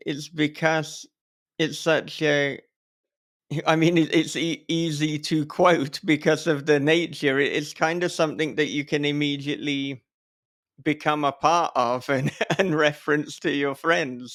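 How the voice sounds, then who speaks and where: A man's voice: mid-range voice, fairly bright tone. A man hosting a podcast in casual conversation, talking in a chatty, rambling way with a chuckle now and then. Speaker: podcast host and horror author.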